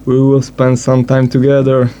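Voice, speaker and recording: A man from Italy, a guest on a casual radio chat, speaking in a measured, even way. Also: mid-range voice, muffled recording.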